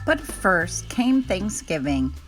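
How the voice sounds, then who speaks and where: A woman reading a children's picture book aloud in an expressive, gentle storytelling manner. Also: fairly low pitch, slow, faint music behind.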